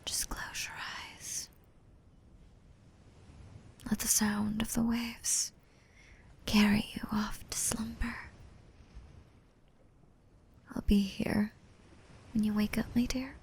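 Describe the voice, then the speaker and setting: Woman doing a gentle mermaid mommy, asmr roleplay, whispery and soothing, bit of fry in the voice, takes it slow. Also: mid-range voice, exaggerated voice. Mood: soothing, hushed. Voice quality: soft, breathy, often whispering. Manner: slow, tender, soothing, with pet names. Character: gentle, motherly five-hundred-year-old mermaid, still young, softly dominant. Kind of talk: roleplay audio, intimate ASMR acting.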